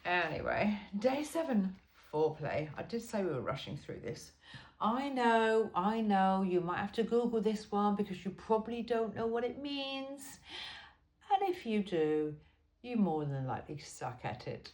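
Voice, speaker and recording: Female amateur reader, medium pitch, wry conversational delivery with emphasis, recorded in an echoey room. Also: lively intonation, a little slow, darkish tone. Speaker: amateur reader of a humorous self-help book. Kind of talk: informal book read-aloud with chatty asides. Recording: roomy, echoey sound.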